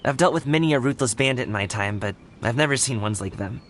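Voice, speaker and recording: A man's voice: mid-range voice, fast, a little hiss or hum, lightly acted. An actor playing a perceptive young man in a video game, casual, direct and confident. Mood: confident, analytical. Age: young adult.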